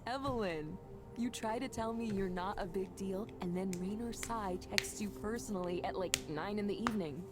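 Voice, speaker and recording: Chatty, awed female friend for a visual novel, played by an actress, mid-pitched, with clear background music. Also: moderate pace, close to an everyday voice. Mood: impressed. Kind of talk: video game voice acting. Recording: music playing behind.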